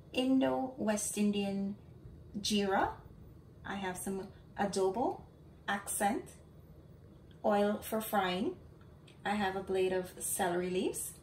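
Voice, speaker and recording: Guyanese woman teaching a home recipe. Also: medium pitch. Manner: steady step-by-step instructions, often says "guys". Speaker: cooking channel host. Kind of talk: home cooking tutorial. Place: home kitchen. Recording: home recording with audible kitchen noise. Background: dishes, pots and kitchen clatter.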